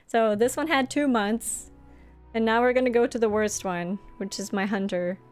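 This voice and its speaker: A woman's voice: a little high, smooth, dry recording. A young woman whose first language is Norwegian, chatting casually with viewers while she streams a game. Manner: chatty and friendly, laughs often. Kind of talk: casual live-stream chat, reading chat aloud.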